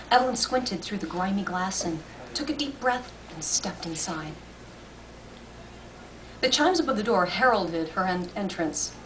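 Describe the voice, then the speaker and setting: A woman reading a story aloud as expressive scripted narration. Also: mid-range voice. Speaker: story reader. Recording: distant mic, faint room noise.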